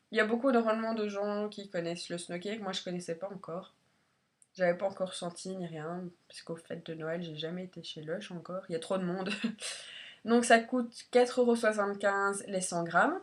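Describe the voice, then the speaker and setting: A woman with a congested, head-cold voice chats informally and a little hesitantly as she reviews products in a casual beauty vlog. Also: on the low side. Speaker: beauty vlogger.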